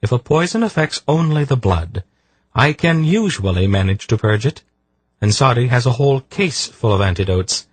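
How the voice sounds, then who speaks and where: A man's voice: medium pitch, very animated, normal speed, husky, no room sound, voice pushed up. A man narrating an audiobook voices a grave, decisive woman sorceress who talks firmly and precisely. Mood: explaining. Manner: firm, urgent, precise.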